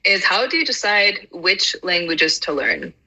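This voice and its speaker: A woman's voice: on the low side, sing-song, unhurried, sharp tone, husky, poor audio. A woman in her mid-twenties with an American accent, chatting casually and often saying "like". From Georgia, United States. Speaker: polyglot guest. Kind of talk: casual chat.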